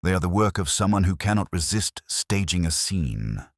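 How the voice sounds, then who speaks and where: A male narrator plays a calm police inspector in a storybook mystery. The voice is very deep and dry, with animated cadence. He speaks gently and deliberately, kindly but shrewd. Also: vocal fry, dry recording, exaggerated voice. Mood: deliberate explaining. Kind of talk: audiobook character dialogue.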